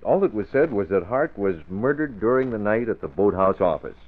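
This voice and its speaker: A calm, assured crime-fighting hero, explains things in a measured, low voice. It is old radio drama with a narrow, phone-like sound. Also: male voice. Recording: thin, phone-like vintage radio.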